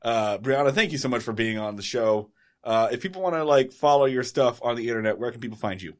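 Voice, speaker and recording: A man's voice: medium pitch, fast. A man co-hosting a podcast, reading out plugs in a loose, rambling way and correcting himself as he goes. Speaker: podcast co-host. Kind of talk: casual podcast outro.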